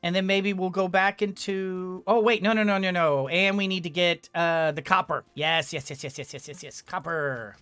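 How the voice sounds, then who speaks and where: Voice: mid-range voice, moderate pace, few pauses, fairly bright tone, clear, resonant tone, dry recording, faint music behind. A gamer giving casual let's play commentary, thinking aloud and often repeating words. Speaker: gaming video host.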